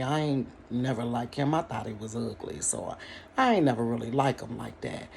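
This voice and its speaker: Woman telling a storytime vlog her bedroom, middle-aged, laughs a lot, talks right to the camera, faint room hiss. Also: very deep voice. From Cincinnati, United States. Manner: animated, laughs often, talks straight to the viewers. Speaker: storyteller vlogger. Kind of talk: casual storytime monologue to viewers.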